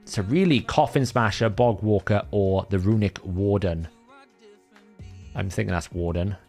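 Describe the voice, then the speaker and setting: A Welsh man with a lowish voice talks through his game plan on a live stream, chatty and thinking out loud as he goes. Welsh was his first language, and he often stops to correct himself mid-sentence. Faint music plays under his voice. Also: unhurried. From Wales. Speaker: livestream host. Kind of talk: casual gameplay commentary.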